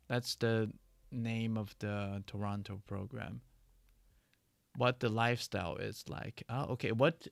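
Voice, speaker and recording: Gravelly-voiced man chatting on a livestream. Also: mid-range voice, dry recording. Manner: halting, many restarts and fillers. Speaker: livestream host. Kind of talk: casual livestream chat answering viewer questions.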